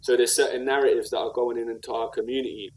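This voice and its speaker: A man's voice: medium pitch, level tone, quick pace, darkish tone, rough recording. A man with a South London accent speaking conversationally and earnestly in slang, reflecting and telling stories as an interview guest. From South London, England. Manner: earnest, slangy, with repeated "like" and "you know what I mean". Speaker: podcast guest, rapper and community activist.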